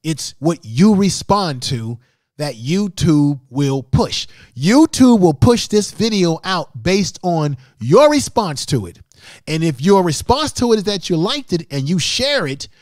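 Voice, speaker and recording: High-pitched man hosting a livestream. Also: sing-song, measured pace, darkish tone, no echo, clean studio sound. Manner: emphatic, repetitive, instructive. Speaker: livestream host, YouTube creator. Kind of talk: live-stream tutorial talk to chat room. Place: small home studio room indoors. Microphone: large microphone close in front of mouth.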